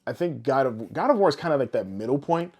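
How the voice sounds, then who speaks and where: A man's voice: medium pitch, sing-song, fast talker, a little mellow. A man co-hosting a podcast, chatting casually and informally, often explaining things through examples. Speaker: podcast co-host.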